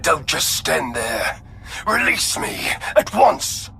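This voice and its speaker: Gravelly, imperious Sith lord. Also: male voice, a little high, very animated, takes it slowly, pushed and bright, faint music behind, heavily acted. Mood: indignant, threatening. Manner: imperious, menacing, theatrical. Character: haughty, wrathful Sith lord of a ruthless space empire. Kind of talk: dramatic video game acting.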